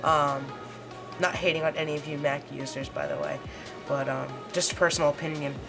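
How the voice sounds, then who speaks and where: A woman with a slightly hoarse voice, rambling through a casual unboxing review with lots of fillers. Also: deep voice, animated, over music. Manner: rambling, halting, many fillers. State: hoarse, under the weather. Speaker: tech reviewer.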